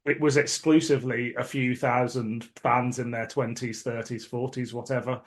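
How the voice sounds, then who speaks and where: A man speaking as a podcast guest in casual discussion, measured and thoughtful. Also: mid-range voice, level tone.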